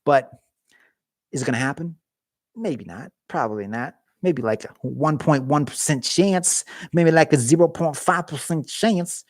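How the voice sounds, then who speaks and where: A man's voice: on the high side, unhurried, dry recording. Rambling male streamer, dry garage sound. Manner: rambling, joking asides to viewers. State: losing his voice. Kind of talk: casual live-stream chat.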